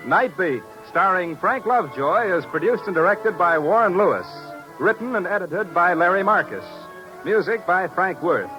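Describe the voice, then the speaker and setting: Male announcer, high voice, formal old-time radio delivery over music, dull vintage sound. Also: lively intonation, normal speed. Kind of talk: formal 1950s radio announcing, scripted credits.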